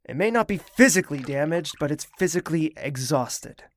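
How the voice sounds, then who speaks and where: An actor playing a weary, suspicious male royal in an audio drama, speaking and narrating in a measured, brooding way. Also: on the high side, animated, a little hiss or hum, lightly acted. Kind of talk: audio drama acting and first-person narration.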